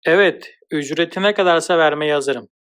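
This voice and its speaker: Cartoon woodcutter husband acted by a man: timid, apologetic, courteous, slightly high male voice. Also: normal speed, a bit bright, clear, resonant tone, lightly acted. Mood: sheepish, pleading. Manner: hesitant, polite. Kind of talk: cartoon fairy tale acting.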